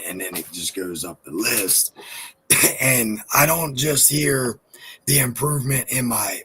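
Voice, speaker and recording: A man in his thirties with a deep, husky voice, a rapper chatting in a casual interview, rambling and swearing with lots of "you know, like.". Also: sharp tone, low-quality recording. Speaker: rapper guest.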